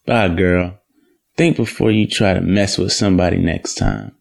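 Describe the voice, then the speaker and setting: Low, creaky-voiced young charmer, played by a man. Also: steady tone, moderate pace. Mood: teasing, mocking. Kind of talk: audio drama acting.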